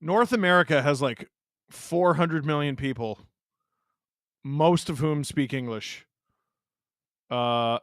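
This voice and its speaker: A man's voice: a little high, bright, forceful tone, dry recording, studio quality. A man in his thirties from Canada hosting a live hockey watch-along, joking with chat and reacting to plays. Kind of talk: casual live-stream commentary.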